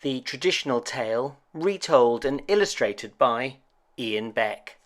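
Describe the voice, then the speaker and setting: A man reading a children's picture book aloud as a storyteller, with an expressive, deliberate delivery. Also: medium pitch. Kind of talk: scripted children's story narration.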